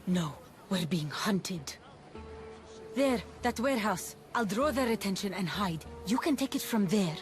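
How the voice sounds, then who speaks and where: A woman's voice: medium pitch, lively intonation, unhurried, faint music behind, natural voice. A woman playing a resolute young hunted warrior of ancient Greece in a video game cutscene. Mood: urgent.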